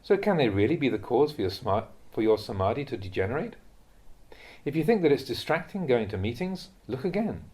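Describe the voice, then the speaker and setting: A man reading a translated Buddhist talk aloud as a monk would teach, in a measured, instructive manner. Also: medium pitch, big pitch swings, a little mellow.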